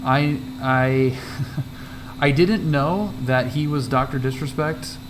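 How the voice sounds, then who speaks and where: A man chatting casually on a flight sim livestream, speaking haltingly and trailing off between remarks and radio calls. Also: medium pitch. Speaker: flight sim streamer and pilot. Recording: clear cockpit noise behind voice.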